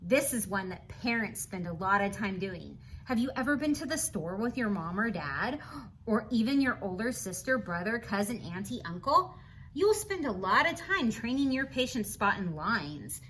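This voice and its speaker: A woman's voice: mid-range voice, sing-song, normal speed, fairly bright tone, a little hiss or hum. A woman reading a picture book aloud to young children, adding chatty asides in an encouraging, instructional manner. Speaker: children's read-aloud host.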